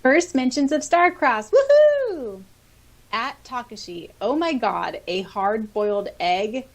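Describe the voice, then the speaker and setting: A woman co-hosting a podcast, reading comments aloud in a casual, expressive, giggly way. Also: fairly high pitch, slow. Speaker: podcast co-host.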